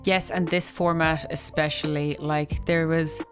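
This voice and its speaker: A woman's voice: low-pitched, flat delivery, measured pace, soft background music. A woman hosting an interview, chatty and playful, laughing easily. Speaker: host, interviewer. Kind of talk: casual interview chat.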